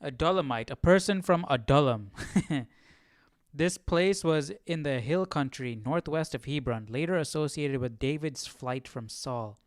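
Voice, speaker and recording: A man's voice: fairly high pitch, unhurried, no echo, clean studio sound. A man hosting a live Bible study stream, chatting casually and jokingly as he thinks aloud. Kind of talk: casual chat, reacting to a reading.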